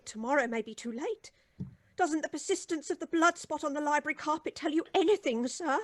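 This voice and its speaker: Woman playing high-voiced, ominous, fearful housekeeper. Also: brisk, dry recording, clean studio sound, natural voice. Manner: dramatic, foreboding, deferential. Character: anxious, ominous older housekeeper, fearful and deferential. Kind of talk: old-time radio drama acting. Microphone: studio microphone on a video call.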